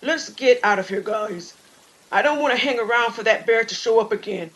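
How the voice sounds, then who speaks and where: A woman's voice: on the high side, normal speed, a bit bright, rough recording. Woman voicing a shaken young male hiker. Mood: shaken, urgent. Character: George, young man, shaken but grateful hiker. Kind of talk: audio story character dialogue.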